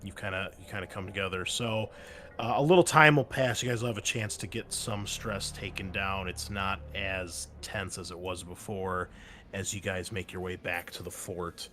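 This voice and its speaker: A man narrating as game master, relaxed and full of ums and uhs. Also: low-pitched, moderate pace, a little hiss or hum. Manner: relaxed narration with many fillers.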